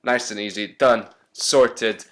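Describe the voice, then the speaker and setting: A man hosting a podcast who talks in a relaxed, encouraging way and thinks out loud while asking questions. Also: lowish voice, takes it slowly. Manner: chatty, encouraging, thinks aloud. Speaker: podcast host. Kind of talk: casual interview chat.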